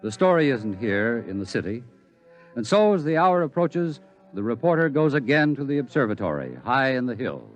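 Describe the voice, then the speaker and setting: A man narrating a 1950s radio drama in a measured, ominous way. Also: mid-range voice, sing-song. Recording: dull lo-fi broadcast with music.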